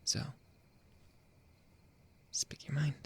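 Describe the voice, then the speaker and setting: A man playing a jealous, controlling young boyfriend in an intimate ASMR roleplay, halting and pleading, often dropping toward a whisper. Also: fairly bright tone. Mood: pleading, intense. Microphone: close, dry microphone.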